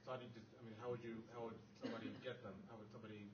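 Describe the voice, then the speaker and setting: A man in the audience of a lecture asking a question in a rapid, fumbling way. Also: mid-range voice, level tone, muffled recording. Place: lecture room.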